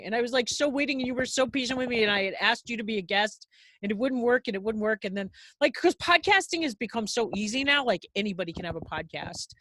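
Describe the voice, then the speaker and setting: A woman hosts a gardening podcast interview, talking in a loose, rambling way full of filler words. Her voice sits fairly high, and the sound is dry and close. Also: fairly bright tone, no room sound, low-quality recording. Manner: rambling, chatty, many "like" fillers. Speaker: podcast host. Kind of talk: casual interview chat.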